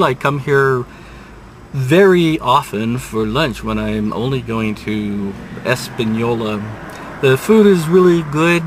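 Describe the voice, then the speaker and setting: An older man reviewing restaurants from inside his car, talking in an unhurried, rambling way with dry, self-mocking asides. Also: medium pitch, noisy background. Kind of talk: casual restaurant review vlog. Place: inside a parked car, daytime. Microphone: dashboard camera at close range.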